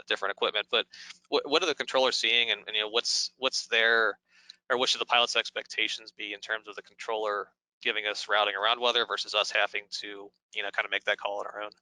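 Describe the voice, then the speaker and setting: A man's voice: fairly low pitch, sharp tone, no echo, poor audio. An American man hosting a Q&A as a pilot and instructor, talking in an informal, wordy, instructive way. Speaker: host, pilot and flight instructor. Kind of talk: conversational Q&A hosting.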